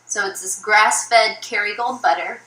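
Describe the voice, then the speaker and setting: A young woman narrating a casual food vlog in a flat, low-energy way, with many ums. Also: measured pace, slight background noise, low-quality recording. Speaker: YouTuber. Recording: old video played from a phone.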